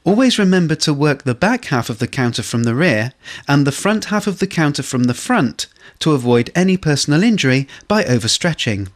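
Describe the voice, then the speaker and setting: A man reading scripted instructional narration for a corporate training film, in a measured way. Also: fairly high pitch, sing-song.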